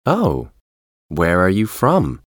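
A man reads the part of a friendly young club member with a very deep voice. He speaks slowly and clearly, and his pitch moves a lot as he greets a newcomer in a textbook dialogue. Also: huge pitch swings, no room sound, studio quality, heavily acted. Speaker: language lesson dialogue character.

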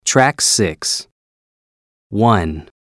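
A woman with a very deep voice announces textbook tracks and words. She is extremely slow and deliberate, and her pitch rises and falls a lot. The recording is dry and close. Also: expressive, dry recording. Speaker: audio narrator. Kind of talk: textbook audio track reading.

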